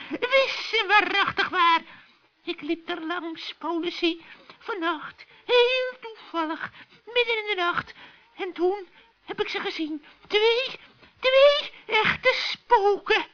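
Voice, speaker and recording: A man's voice: very high voice, expressive, takes it slowly, bright, forceful tone, pitched above the performer's own voice, full character voice. A man voicing a frantic, wheedling old forest witch in a children's radio play, panicky, pleading and stammering. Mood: frightened. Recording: dull old radio recording.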